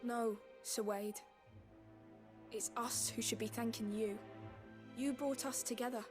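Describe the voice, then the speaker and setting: A woman's voice: a little high, steady tone, unhurried, lightly acted. A woman voicing an earnest, determined village woman in a game. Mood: grateful. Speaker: video game character. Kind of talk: dramatic game voice acting. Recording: game music behind. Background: game music.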